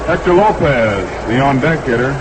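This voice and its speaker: An American man calling baseball play-by-play live on 1960s radio from an outdoor ballpark, in clipped fragments with deliberate pauses. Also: mid-range voice, expressive. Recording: very noisy 1960s radio broadcast recording.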